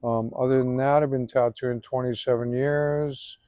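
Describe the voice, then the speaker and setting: A man in his forties from Hawaii, a tattoo artist and swimmer, talking in a relaxed, easygoing way as the guest, outdoors after a swim. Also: medium pitch, moderate pace, no echo, clean studio sound. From Hawaii, Oahu. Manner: relaxed, even-toned, filler-heavy. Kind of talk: casual outdoor interview.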